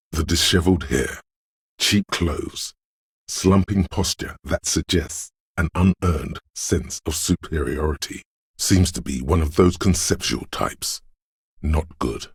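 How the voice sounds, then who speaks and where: A gravelly, bottomless-voiced slick hustler. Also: male voice, dry recording, studio quality, heavily acted. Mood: observant, measured. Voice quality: gravelly, very deep. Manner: smooth-talking, theatrical, cocksure. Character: slick, flashy male hustler inner voice coaching sales. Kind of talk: video game voice-over of an inner voice.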